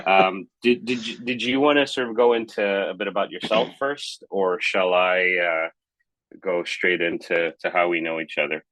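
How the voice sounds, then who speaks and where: A man's voice: low voice, steady tone, moderate pace, fairly bright tone, no echo, poor audio. A man in his thirties from Hong Kong, co-hosting a casual podcast chat in a rambling, hesitant, thoughtful way. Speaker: podcast co-host.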